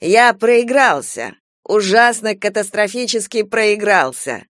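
A man voicing a young, dissolute gambler nephew, theatrical and pleading, with drawn-out emphatic delivery. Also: sits high, expressive, a bit bright, dry recording, studio quality, exaggerated voice. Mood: agitated, confessing.

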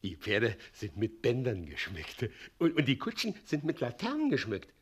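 Puffed-up president bridegroom in a radio play, husky, swoops from creepy coaxing to bossy barking. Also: male voice, mid-range voice, wildly swooping pitch, normal speed. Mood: cloying, coaxing. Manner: theatrical, swinging from coaxing murmurs to barked orders. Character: pompous, volatile bridegroom, self-important city president. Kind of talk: German radio play acting.

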